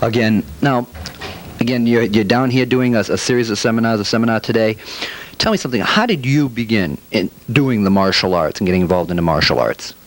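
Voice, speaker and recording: A man's voice: lowish voice, a little fast, low-quality recording. A man hosting a martial arts TV interview, asking questions in a polite, deferential way and often repeating himself. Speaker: TV show host.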